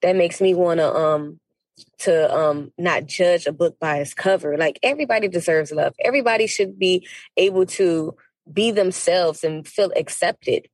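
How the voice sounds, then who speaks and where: An American woman from Miami speaking as a podcast guest in a casual interview, telling stories in an animated, emphatic, slangy way. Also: on the low side, moderate pace, no echo. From Miami, United States.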